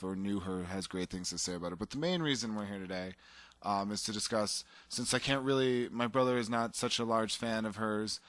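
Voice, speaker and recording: Low-voiced man rambling enthusiastically. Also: a bit bright, dry recording. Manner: enthusiastic, rambling with fillers and restarts. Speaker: podcast co-host. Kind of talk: casual chat.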